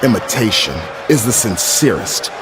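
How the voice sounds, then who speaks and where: A man playing a vain, menacing pro wrestler who delivers a pompous, deliberate promo about himself in a video game. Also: lowish voice, very animated. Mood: smug, menacing. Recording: arena crowd roar, heavy noise.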